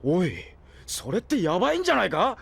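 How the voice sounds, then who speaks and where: A man's voice: high voice, wildly swooping pitch, brisk, creaky, a bit bright, husky, heavily acted. An actor playing an earnest, timid young male junior researcher in an audio drama, deferential and easily flustered. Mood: panicking. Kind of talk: Japanese audio drama acting.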